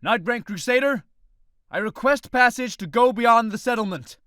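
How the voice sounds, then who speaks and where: A man playing a formal young crusader calling out loudly in a medieval fantasy audio drama. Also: very high voice, moderate pace, no echo. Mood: shouting, commanding. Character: formal young male crusader shouting to a guard.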